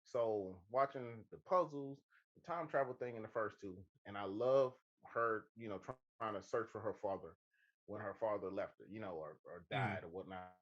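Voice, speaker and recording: A man's voice: lowish voice, moderate pace. A man co-hosting a podcast in a casual, chatty style, recorded with dull, lo-fi sound. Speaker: podcast co-host. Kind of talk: casual chat.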